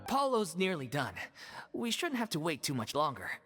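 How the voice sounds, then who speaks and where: A woman's voice: low-pitched, very animated, moderate pace. A woman voicing a hearty, stubborn, food-loving stage actor in a video game. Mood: carefree, stubborn. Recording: loud music behind the voice.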